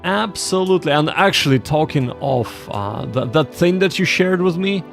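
A man hosting a livestream, chatting casually and explaining things to viewers in a halting way, often repeating himself. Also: fairly high pitch, animated, creaky, soft background music. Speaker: livestream host of a Japanese study session.

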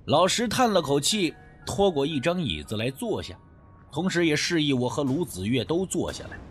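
A man narrating a scripted mystery audiobook in the first person, with dramatic, expressive storytelling. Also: fairly high pitch, moderate pace, faint music behind.

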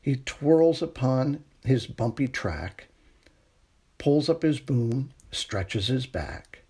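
A middle-aged man reading a children's picture book aloud in a gentle, unhurried bedtime manner, with a husky, creaky voice. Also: mid-range voice, lively intonation. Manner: gentle, unhurried bedtime delivery with hushed refrains. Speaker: storytime reader.

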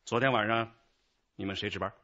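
A man's voice: lowish voice, steady tone, fairly quick, bright, forceful tone, muffled recording. A man playing a commanding detective chief with a probing, authoritative manner in a radio crime drama. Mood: businesslike. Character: commanding male police detective chief leading an investigation.

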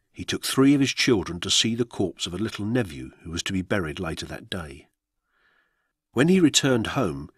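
A man narrates a historical account for radio in a solemn, measured way. His voice sits a little low and has a husky grain. The recording is dry and close, as in a studio. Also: a bit bright, dry recording. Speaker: narrator reading true-crime history.

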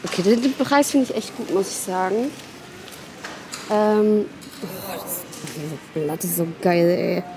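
A young woman vlogging casually and excitedly while browsing plants in a large garden center, sometimes dropping to a whisper. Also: on the low side, a little slow, background noise. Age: young adult. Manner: excitable, chatty, often whispering asides. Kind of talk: casual shop-with-me vlog. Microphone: handheld phone camera.

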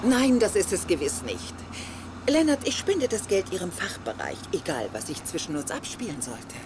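A self-assured older lady, expressive. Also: fairly low pitch, normal speed, background noise. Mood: gracious. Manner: polite, sly, formal. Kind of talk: German sitcom dub.

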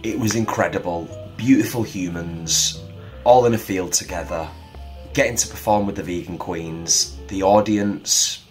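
Middle aged guy, slow lowish voice, casual vlog sidekick chat, faint music behind. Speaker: vlog co-host. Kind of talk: casual chat, short agreeing remarks.

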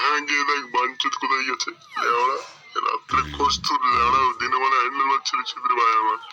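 A man's voice: medium pitch, noisy background, natural voice. A man voicing a crude, foul-mouthed old grandfather who grumbles and complains, in a comedy cartoon. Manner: cranky, swearing, complaining.

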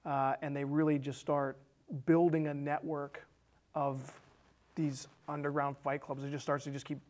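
An American man in his thirties talks through a movie in a casual, conversational way. His voice sits a little higher than average, and he tends to circle back and repeat himself as he explains. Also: little pitch movement, moderate pace, a little mellow. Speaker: film discussion show co-host. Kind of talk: casual conversational film recap. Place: small indoor studio set with curtain.

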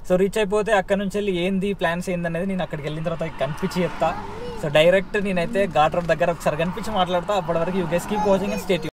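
A man's voice: high-pitched, fairly even intonation, very fast. A young man talking casually to viewers while driving, mixing English words into his talk. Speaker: travel vlogger. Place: inside a car. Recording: car and road noise behind.

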